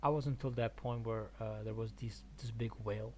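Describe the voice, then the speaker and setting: A man from Argentina chatting casually on a game stream, haltingly thinking aloud and repeating words. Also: lowish voice, fairly even intonation, darkish tone, a little hiss or hum. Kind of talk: casual rambling stream chat about games.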